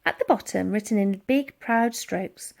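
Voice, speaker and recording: A woman reading a children's storybook aloud in a dramatic, expressive narrating style. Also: medium pitch, unhurried, creaky. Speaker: audiobook reader.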